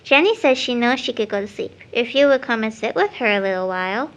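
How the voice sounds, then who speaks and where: A woman acts out a little girl in an audio drama. She is sweet, trusting and dreamy, speaking earnestly in a childlike way with a fairly high voice. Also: animated, brisk, lightly acted.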